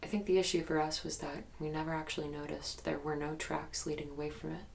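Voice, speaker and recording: A woman's voice: low voice, little pitch movement, creaky, a little hiss or hum. An actress playing a guarded young woman in an audio drama, recounting a haunted childhood memory in a hesitant, reflective, documentary-interview way. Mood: subdued, haunted. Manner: hesitant, reflective storytelling with pauses.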